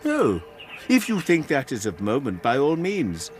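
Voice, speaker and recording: An actor playing a polite, anxious Victorian college don with an English accent and a formal, courteous manner in a radio drama. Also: male voice, medium pitch, wildly swooping pitch, a little slow, soft background music. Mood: courteous, defensive. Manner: formal, courteous, slightly flustered. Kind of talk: BBC radio drama acting.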